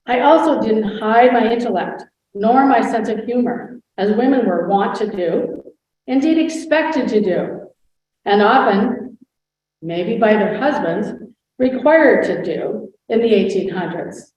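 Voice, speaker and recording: A woman's voice: a little high, expressive, a little slow, darkish tone. A woman playing a proud, witty 19th-century noblewoman in a scripted first-person portrayal, with a grand storytelling delivery, recorded far from the mic in a very echoey hall. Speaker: historical impersonator. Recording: roomy hall sound, far from the mic, dull.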